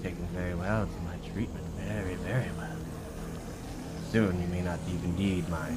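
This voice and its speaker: A man's voice: sits low, steady tone, moderate pace, very noisy, natural voice. A man playing a menacing mad scientist villain in an audio drama, gloating and theatrical with mock politeness.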